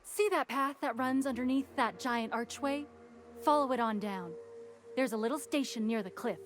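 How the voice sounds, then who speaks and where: An actress playing a wary but easygoing station guard who talks in a drawling, folksy way. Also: female voice, fairly high pitch, moderate pace, slight background noise, close to an everyday voice. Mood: wry.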